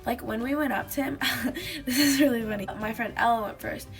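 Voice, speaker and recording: A teenage girl chats to her webcam from a small bedroom, her voice a bit hoarse and gravelly. She sits on the higher side and rambles through her stories with plenty of "like"s and giggles. Faint music plays underneath. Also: brisk. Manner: chatty, giggly storytelling with many fillers. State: slightly hoarse from a lost voice. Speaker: vlogger. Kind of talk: casual chat to camera.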